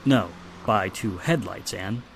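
A man playing a steady, reassuring police inspector in old-time radio drama style, measured and explanatory. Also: on the low side, gravelly, a little hiss or hum. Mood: matter-of-fact.

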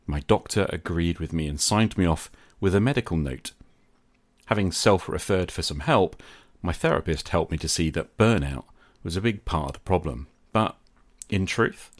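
A man speaking as a podcast host in a reflective scripted monologue, with an earnest, measured manner. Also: sits low, lively intonation.

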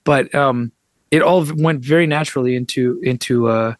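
A man's voice: mid-range voice, moderate pace, no echo. Wry storytelling man, dry close sound. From United States. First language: Russian. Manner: wry, animated storyteller with frequent restarts. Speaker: guest author and translator. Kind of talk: conversational interview storytelling.

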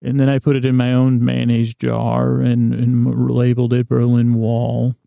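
A man hosting a podcast, rambling through a bedtime story monologue in a gravelly voice, halting and stumbling over his words as he meanders. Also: medium pitch, completely flat delivery, dark tone, no echo. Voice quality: gravelly, creaky voice. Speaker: podcast host.

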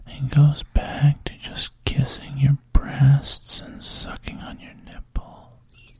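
A man narrating a second-person story in a slow, breathy, intimate whisper. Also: mid-range voice, mellow, no room sound.

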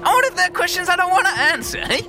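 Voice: very high voice, big pitch swings, rapid-fire, sharp tone, soft background music, exaggerated voice. Suspicious, wildly swooping rat catcher. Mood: hostile, taunting. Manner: slangy, taunting, clipped questions. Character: wiry, suspicious fur-covered rat catcher man, threatening and sly. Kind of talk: fantasy audio drama acting.

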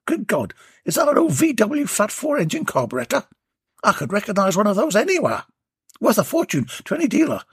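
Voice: mid-range voice, sing-song, moderate pace, a bit bright, slightly rough, no echo, clean studio sound, voice pushed up, full character voice. A storyteller voicing a jolly, cheeky male rubbish remover who banters and teases. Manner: chirpy, teasing banter.

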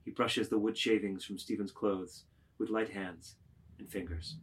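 A man narrating a literary work, reading stage directions aloud with measured, deliberate phrasing. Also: on the low side, steady tone, fairly bright tone, slightly rough.

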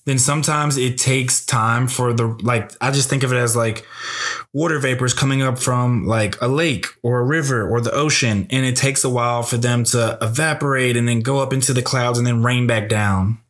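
Gentle, musing young man. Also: medium pitch, fairly even intonation, normal speed. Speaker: podcast co-host. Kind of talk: casual conversation about astrology.

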